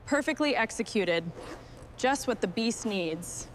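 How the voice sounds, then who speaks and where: A woman with a high voice gives terse, step-by-step remarks on a machinery restoration job. There is clear ambient noise behind her short phrases. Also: lively intonation, a little slow. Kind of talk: short work commentary during restoration.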